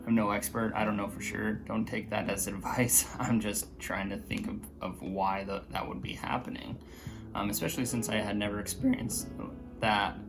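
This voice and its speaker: A man with a slightly raspy voice chatting casually in a vlog, hesitant, with many fillers and restarts. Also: lowish voice. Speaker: vlogger.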